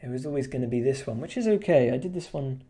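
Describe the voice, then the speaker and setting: Rambling man with a mellow voice. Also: medium pitch, expressive, fast talker, slightly rough. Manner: rambling, many fillers and restarts, chuckling. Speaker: streamer and artist. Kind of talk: casual chat while drawing on stream.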